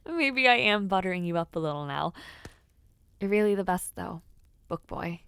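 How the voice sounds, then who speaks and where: A woman playing a young noble debutante, sweet, playful and softly hesitant, in an intimate fantasy audio roleplay. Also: lowish voice, animated, a little slow, long pauses, clear, resonant tone, no room sound. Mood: tender, grateful. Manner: soft, hesitant, gently teasing.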